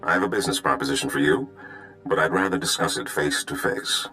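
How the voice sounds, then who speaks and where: Deep, polished underworld schemer. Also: male voice, a little fast, soft background music, rough recording. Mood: ingratiating. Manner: polished, flattering. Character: smooth-talking, courteous male underworld schemer. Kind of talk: video game cutscene acting.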